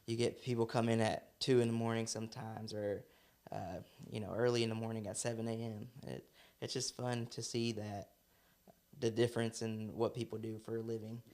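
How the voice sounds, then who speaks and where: A man's voice: on the low side, little pitch movement, normal speed, a little mellow. Easygoing young man chatting, laughing easily. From Arkansas. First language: Spanish and English at home, Salvadoran parents. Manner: easygoing, laughs often, repeats words as he starts. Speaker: interview guest, living-facility director. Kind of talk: casual interview chat.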